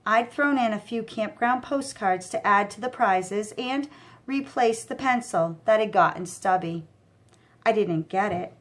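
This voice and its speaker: A woman narrating a children's novel as an expressive storyteller. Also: medium pitch, measured pace.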